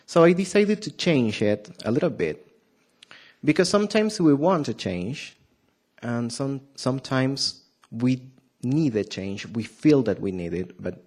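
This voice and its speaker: A man with a thick Argentine Spanish accent delivers a Sabbath sermon at an unhurried pace. He halts often, restarts his sentences and fills gaps with "um". He keeps turning to the congregation with questions. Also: mid-range voice, expressive, a little mellow, husky. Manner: hesitant, many fillers and restarts, asks the audience questions. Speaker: preacher.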